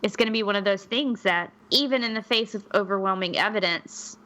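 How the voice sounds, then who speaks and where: A woman's voice: mid-range voice, brisk, fairly bright tone. A woman co-hosting a podcast in casual chat, skeptical and thinking aloud with frequent restarts. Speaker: podcast co-host.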